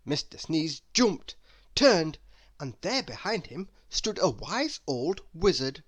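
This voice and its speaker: A storyteller reading a children's book aloud in an expressive narrating style. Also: medium pitch, slow, husky, no echo.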